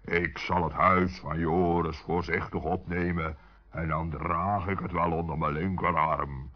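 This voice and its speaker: A man playing a calm, kindly but commanding giant in a children's radio play, speaking in a measured, authoritative way. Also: deep voice. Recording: dull, muffled old radio recording.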